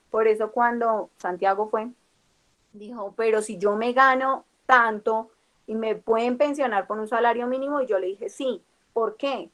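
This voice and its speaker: A woman's voice: fairly high pitch, low-quality recording. A young Colombian woman with a Colombian accent teaching a class, explaining step by step and checking in with her students. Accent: Colombian Spanish. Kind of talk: classroom lecture.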